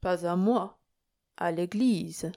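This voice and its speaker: A shrewd, kindly country priest in a storybook, voiced by a woman reader. He speaks in measured phrases. His manner is calm and coaxing, with a gentle note of reproach. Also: on the low side, natural voice. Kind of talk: audiobook character acting.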